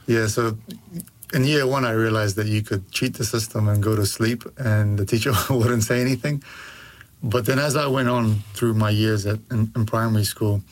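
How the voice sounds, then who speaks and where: A young man from Pakistan, talking casually as an interview guest, relaxed and chatty with plenty of fillers. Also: on the low side, moderate pace. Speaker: guest author.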